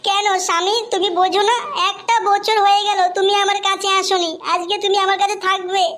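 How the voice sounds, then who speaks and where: A woman's voice: very high-pitched, flat delivery, brisk, a bit bright. A woman voicing a coy, needy, flirtatious wife in a cartoon, coaxing and complaining as she talks.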